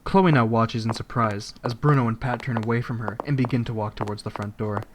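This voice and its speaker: Guy narrating an audio drama, scripted, medium pitch, a bit of noise behind. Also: fairly even intonation, brisk, poor audio.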